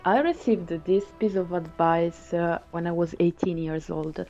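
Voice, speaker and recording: A woman's voice: on the low side, unhurried, warm tone. A woman from Italy with an Italian accent, chatting casually as a guest in an interview. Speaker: podcast guest, violinist. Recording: dull lo-fi call, music underneath. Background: music.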